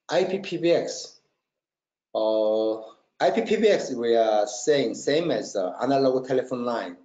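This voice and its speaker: A man presenting a technical webinar in halting non-native English, checking often that listeners follow. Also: mid-range voice, mellow. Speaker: webinar presenter, company marketing trainer. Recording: roomy, dull lo-fi webinar audio.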